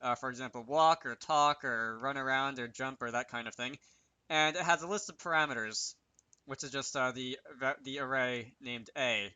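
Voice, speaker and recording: A man with a very bright, crisp voice talks through a programming tutorial off the cuff. He thinks aloud haltingly, pausing to work things out, and the sound is close and dry. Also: mid-range voice, no echo. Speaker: game developer presenting a tutorial series. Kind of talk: unscripted screen-recorded programming tutorial.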